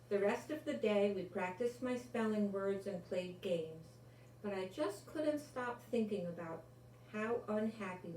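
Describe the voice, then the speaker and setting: An older woman reads a picture book to children from a little way off the microphone. Her pace is slow and unhurried, with simple, expressive phrasing. The room adds noticeable echo around her voice. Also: medium pitch. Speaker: storyteller reading a children's picture book aloud. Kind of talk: expressive read-aloud narration for children. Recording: roomy, distant mic with faint noise.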